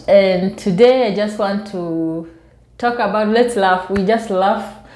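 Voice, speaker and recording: A soft-spoken woman with a Kenyan accent chats casually to her viewers, telling personal stories in a self-amused way. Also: mid-range voice, echoey room. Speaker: YouTube vlogger. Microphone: newly bought external microphone.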